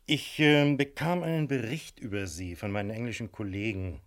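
A man playing a courteous but firm, wily police inspector in a radio crime drama, speaking formally and deliberately with polite menace. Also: lowish voice, natural voice. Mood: formal, warning.

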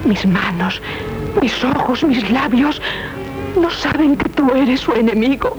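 Anguished castilian noblewoman, young, impassioned old radio drama, muffled sound with loud music under it. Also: high voice, expressive, slow pace. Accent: Castilian Spanish. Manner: impassioned, solemn, dramatic delivery. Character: anguished young noblewoman torn between love and vengeance. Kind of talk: 1970s Spanish radio theatre acting. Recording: dull 1970s radio broadcast recording. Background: prominent music.

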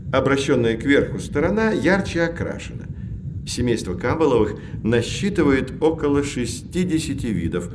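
An actor playing a learned professor who explains things articulately to children in an educational audio drama. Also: male voice, mid-range voice, background noise, lightly acted. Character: learned male professor guiding children on sea voyage.

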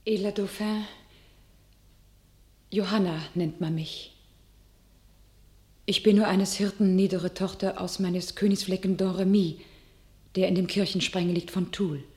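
A woman's voice: mid-range voice, fairly even intonation, measured pace, pauses often, a little mellow, close to an everyday voice. A woman acting a devout, visionary young shepherdess in a classical radio play, declaiming verse solemnly and resolutely. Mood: humble, fervent.

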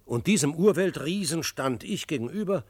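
An actor playing an adventurer who narrates his own frontier tale in a measured, literary, dramatic radio style. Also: a little high, expressive, a little mellow, breathy edge, no echo. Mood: urgent. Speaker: first-person narrator.

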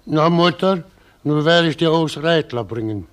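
An actor playing a humble, pious old weaver, earnest and husky, speaking with dialect colouring in a radio play. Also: male voice, fairly high pitch, measured pace, close to an everyday voice. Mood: gentle, stern. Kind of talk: German radio play acting.

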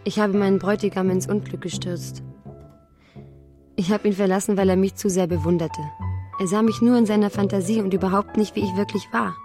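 Woman acting young radio-drama heroine, melancholy, yearning, intimate confessional delivery, medium pitch, music in background. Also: steady tone, brisk, warm tone, natural voice. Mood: wistful, confessional. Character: melancholy, yearning young bride who left her fiancé.

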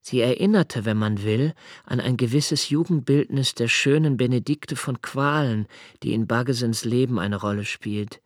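An actor playing a reflective young male diarist, narrating his memories in a literary radio drama with measured, deliberate delivery. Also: mid-range voice, dry recording, studio quality, lightly acted. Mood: hushed, reflective.

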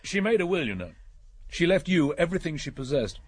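An actor playing a shrewd, methodical English police inspector in a radio drama, measured and probing. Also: male voice, a little high, very animated, husky. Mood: probing, dry. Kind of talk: British radio drama acting.

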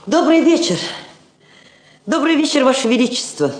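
An actress playing a dignified woman ambassador, speaking in a measured, heartfelt, declamatory way. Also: sits high, big pitch swings. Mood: dignified, assured. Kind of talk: solemn Soviet stage drama acting. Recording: roomy old drama recording.

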